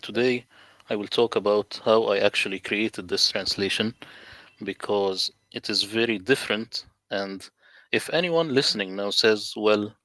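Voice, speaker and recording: A man from the Middle East who speaks Arabic natively, talking as a guest over a video call in a deliberate, emphatic, lecturing way. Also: lowish voice, fairly bright tone, no echo. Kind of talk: casual livestream conversation.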